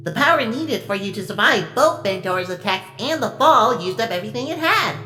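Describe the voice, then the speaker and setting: An actress playing a precise technical aide briefing a hero team in an audio drama. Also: female voice, a little high, animated, fairly quick, sharp tone, soft background music. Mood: explanatory.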